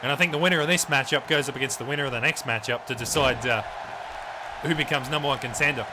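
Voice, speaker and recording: A man's voice: mid-range voice, fast talker, crowd noise, noisy background, close to an everyday voice. A man playing a crude, swearing Australian wrestling commentator who rambles in a blokey way over a video game match. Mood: crude banter.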